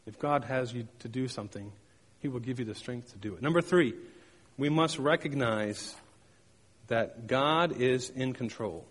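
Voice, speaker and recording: A man's voice: medium pitch, moderate pace, breathy edge, in a large hall. A man delivering a sermon, telling personal stories with emphatic repetition and playful sound effects. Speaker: pastor.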